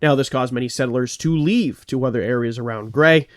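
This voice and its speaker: A man's voice: mid-range voice, brisk. Male podcast host, congested and slightly phlegmy, earnest true crime narration with rambling asides.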